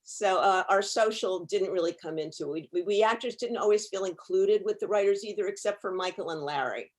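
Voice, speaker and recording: A woman speaks as a panel guest on a video call. She reminisces in a measured, articulate way, with wry understatement. Her pitch sits in an ordinary middle range. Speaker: panel guest, actress recalling her television career.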